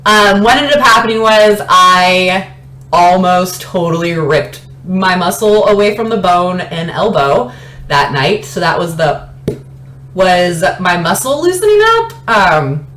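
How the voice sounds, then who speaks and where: A woman's voice: fairly low pitch, expressive, takes it slowly, fairly bright tone. Chatty young woman in an echoey room. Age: late 20s. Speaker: fitness vlogger. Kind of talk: casual chatty personal storytelling. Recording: roomy echo, light background noise.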